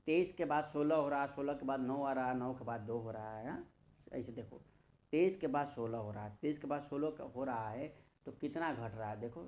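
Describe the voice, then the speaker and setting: A man's voice: medium pitch, creaky, a little mellow. A man teaching an informal coaching lesson, repeating key phrases and answering his own questions as he talks straight to students. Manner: emphatic, addresses students directly. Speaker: maths and reasoning teacher.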